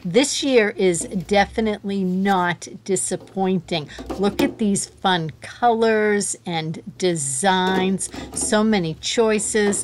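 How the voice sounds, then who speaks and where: Slow, gushing woman shopping a store. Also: medium pitch, a little hiss or hum. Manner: enthusiastic, gushing over items. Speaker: shopping vlogger. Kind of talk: casual shop-with-me narration. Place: inside a store. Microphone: handheld phone camera.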